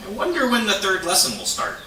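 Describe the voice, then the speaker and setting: An excitable young-hearted beaver puppet, acted out by a man, naive and curious, with a high voice that swings up and down. The delivery is exclamatory and easily upset, heard in an echoey room. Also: quick pace. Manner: exclamatory, questioning, exaggerated. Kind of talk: children's puppet show acting. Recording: echoey room, distant mic, noisy.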